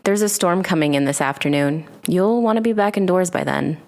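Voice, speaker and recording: A woman's voice: lowish voice, a little fast, gravelly, a little mellow. A woman playing a flirty bartender. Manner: casual, teasing.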